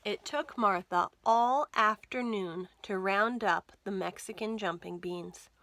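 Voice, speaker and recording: A woman in her thirties reading a picture book aloud to children, with careful, expressive storytelling. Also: medium pitch, no room sound. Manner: slow, careful, expressive delivery for young children. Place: small indoor room lined with bookshelves.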